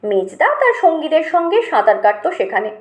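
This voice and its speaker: A woman with a high voice narrates a literary memoir. Her delivery is expressive, scripted storytelling, and the recording has a clear roomy echo. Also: brisk.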